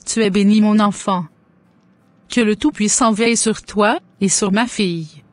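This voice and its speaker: A woman playing a pious, joyful middle-aged mother in an animated religious story, speaking in solemn, formal blessings. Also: mid-range voice, a little slow, soft background music, close to an everyday voice. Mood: reverent, blessing.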